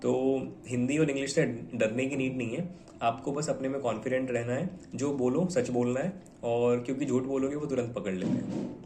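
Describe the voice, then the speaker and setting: Young man, mid-pitched, chatty advice to camera, recorded in a roomy space. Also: fairly even intonation, moderate pace, background noise. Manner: conversational, mixes Hindi with English words, fillers like "theek hai". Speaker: bank officer giving exam advice. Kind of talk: casual advice talk to viewers. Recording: echoey room.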